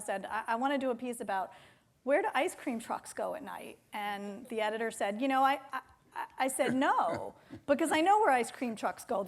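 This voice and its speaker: A woman interviewing someone on stage in a conversational way, with hesitant, restarting phrasing. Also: a little high. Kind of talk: live onstage literary interview. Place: theater stage, public talk.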